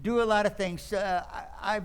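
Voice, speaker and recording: A man plays an aghast young nephew in a radio comedy. His voice is pitched quite high, and he stammers, repeats himself and sounds thoroughly exasperated. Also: steady tone, moderate pace, clear, resonant tone, lightly acted. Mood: flustered. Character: flustered, aghast young nephew, a theater critic. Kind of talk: old-time radio comedy acting.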